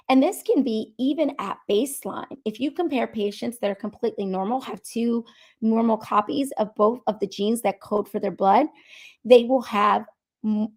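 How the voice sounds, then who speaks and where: A woman with a cold and a hoarse voice, giving an explanatory medical talk at a seminar and repeating key points. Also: fairly high pitch. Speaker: medical speaker.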